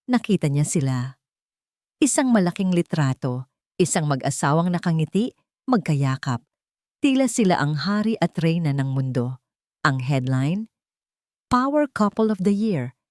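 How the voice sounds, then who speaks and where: Female audiobook-style narrator, slightly low register, deliberate dramatic delivery, dry close recording. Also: darkish tone, dry recording. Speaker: story narrator. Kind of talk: scripted dramatic story narration.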